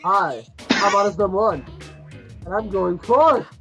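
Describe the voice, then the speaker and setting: A man's voice: high voice, lively intonation, measured pace, fairly bright tone, lo-fi sound, soft background music. A man chiming in with short, repeated remarks during casual group commentary on a game. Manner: interjecting, repeats short phrases.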